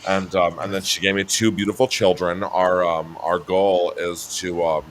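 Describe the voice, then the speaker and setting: Deep-voiced man, casual and chatty. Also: flat delivery, moderate pace, slight background noise. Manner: laughing, breaks into high shouty voice when mimicking himself. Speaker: guest on a talk show. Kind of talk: casual chat. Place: New Mexico home.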